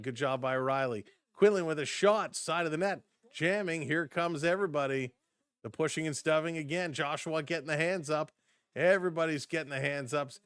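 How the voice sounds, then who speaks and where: A man doing live play-by-play for a fan stream, switching between brisk calls of the action and chatty replies to his viewers, his voice starting to go hoarse. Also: a little high, fairly bright tone, no echo. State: voice getting hoarse. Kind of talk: live play-by-play and chat reactions.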